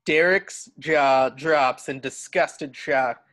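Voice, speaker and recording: A man's voice: medium pitch, takes it slowly, a bit bright. A man narrating stage directions from a script in an amateur table read over a video call.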